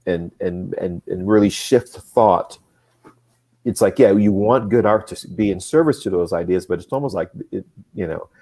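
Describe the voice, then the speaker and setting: Very deep-voiced man rambling thoughtfully. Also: normal speed. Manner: thoughtful and rambling, many restarts, speeds up when excited. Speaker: guest. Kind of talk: casual livestream chat.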